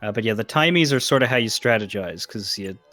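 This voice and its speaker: A man's voice: fairly low pitch, lively intonation, fairly quick, pushed and bright, soft background music. A man giving casual game commentary, explaining things hesitantly as he thinks aloud. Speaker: stream co-host guiding a player.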